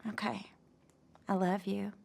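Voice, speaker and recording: A woman's voice: mid-range voice, level tone, unhurried, creaky. An actress in an audio drama playing a sweet, chatty woman who poses as an expectant mother, friendly and confiding. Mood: apologetic. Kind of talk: audio fiction drama acting.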